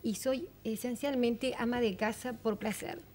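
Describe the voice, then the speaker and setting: A woman's voice: on the high side, steady tone, unhurried, darkish tone, a little hiss or hum. A middle-aged woman from Italy, a teacher, giving brief, composed answers in a TV studio interview. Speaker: panelist, teacher.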